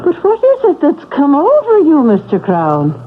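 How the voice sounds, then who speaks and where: Lilting Irish housekeeper, tinny radio. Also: female voice, high voice, wildly swooping pitch, moderate pace. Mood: moved. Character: pious, kindly older Irish housekeeper. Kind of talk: old-time radio drama acting. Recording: tinny old radio recording, faint noise.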